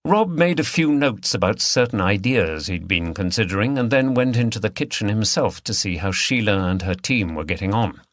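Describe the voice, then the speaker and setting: A man's voice: lowish voice, lively intonation, moderate pace, hardly any pauses, a bit bright, no room sound, clean studio sound. A man reading scripted third-person story narration as an audiobook narrator.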